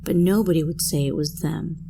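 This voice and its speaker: A woman's voice: low-pitched, fairly quick, gravelly, mellow, husky. A woman reading a scripted first-person horror story as a narrator.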